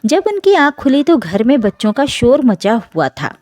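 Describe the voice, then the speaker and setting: Female narrator for emotional family tales: higher-pitched, very animated intonation, soft mellow tone, scripted and dramatic. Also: normal speed, no room sound, studio quality. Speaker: story narrator.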